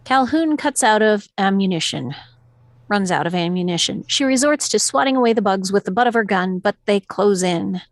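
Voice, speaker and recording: A woman's voice: medium pitch, animated, moderate pace, dry recording. A woman reading stage directions as scripted narration at a table read, in a dramatic storytelling manner.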